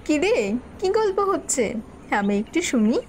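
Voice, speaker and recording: A woman's voice: high voice, big pitch swings, a little slow, darkish tone, slight background noise. A woman playing a mother in an audio story, playfully chiding her family. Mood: teasing. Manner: teasing, chiding. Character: brisk mother playfully scolding husband and daughter.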